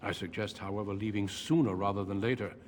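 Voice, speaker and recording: An actor playing a calm, grave mentor in a fantasy video game, speaking in a measured, formal and firm way. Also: male voice, fairly low pitch, slight background noise, natural voice.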